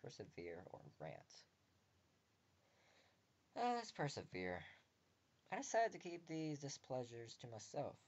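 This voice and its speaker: A man reading a visual novel aloud and breaking off for crude, joking asides, sometimes muttered quietly. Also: medium pitch, very animated, unhurried, long pauses, lo-fi sound.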